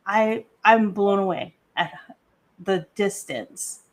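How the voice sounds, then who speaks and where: Slow, deliberate woman from Hawaii hosting. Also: mid-range voice. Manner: measured, deliberate delivery with a scripted-sounding welcome. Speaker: podcast host. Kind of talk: live podcast interview hosting.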